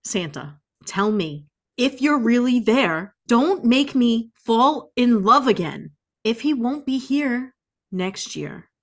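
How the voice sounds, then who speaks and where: A woman's voice: on the high side, sing-song, takes it slowly. A woman co-hosting a podcast, giving playful, theatrical readings in an exaggerated, melodramatic way. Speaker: podcast co-host. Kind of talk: playful, theatrical dramatic reading of song lyrics.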